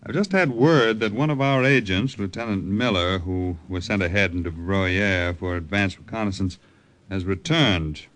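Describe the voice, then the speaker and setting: A male actor playing an army colonel in 1940s radio drama, with a clipped manner and hemming pauses. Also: sits low, fairly bright tone. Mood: brisk, concerned. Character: army colonel, hesitant but commanding senior officer.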